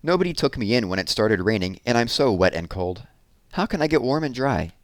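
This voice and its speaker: A man's voice: mid-range voice, sing-song, fairly quick, no echo, studio quality, lightly acted. A man voicing a soggy, sorry-for-himself male teddy bear in a storybook, plaintive and earnest.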